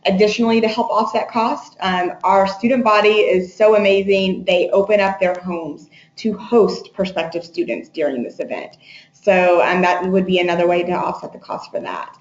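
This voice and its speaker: A woman's voice: lowish voice, moderate pace. A woman giving an informational webinar presentation as an admissions presenter, explaining with frequent hesitations. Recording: echoey room, muffled webinar audio.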